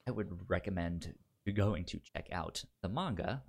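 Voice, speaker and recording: A man's voice: low-pitched, expressive, moderate pace, creaky, husky. A man chatting casually as a podcast host giving recommendations, stuttering and repeating syllables and words as he goes. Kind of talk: casual film chat.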